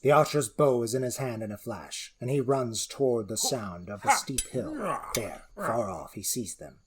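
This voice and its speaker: A man narrating a scripted fantasy tale in a theatrical manner with deliberate phrasing. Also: mid-range voice, very animated, over a noisy crowd. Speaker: narrator and creator of the story world.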